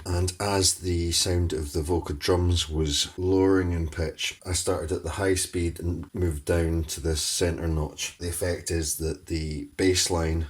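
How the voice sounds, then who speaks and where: A man demonstrates music gear in a tutorial style. His voice is extremely deep with a husky edge. He explains things step by step in a plain, matter-of-fact way.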